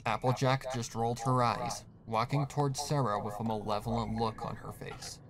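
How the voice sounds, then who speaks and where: A man's voice: medium pitch, steady tone, normal speed, a bit bright. A man narrating a scripted horror story, reading as the narrator.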